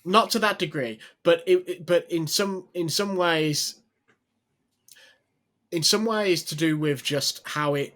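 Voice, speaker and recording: A man's voice: a little high, slow pace, fairly bright tone. A man co-hosting a game podcast, chatting casually with wry joking asides. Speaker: podcast co-host. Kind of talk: casual chat.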